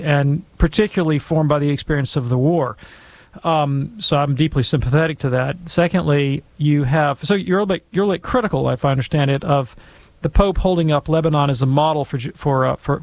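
A man answering an audience question in a thoughtful, measured, explanatory way. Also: on the high side, darkish tone, no room sound.

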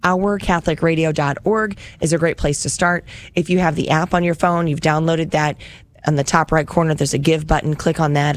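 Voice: medium pitch, no room sound. Upbeat fundraiser radio host, dry studio sound. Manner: upbeat, repeats phone number.

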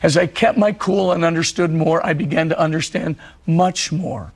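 A middle-aged priest speaks with a husky, hoarse voice that is fraying at the edges. His gravelly, breathy delivery sits slightly high, and he tells his story earnestly, driving points home with repetition. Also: moderate pace. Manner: earnest storytelling preacher, repeats phrases for emphasis. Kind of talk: televised religious talk.

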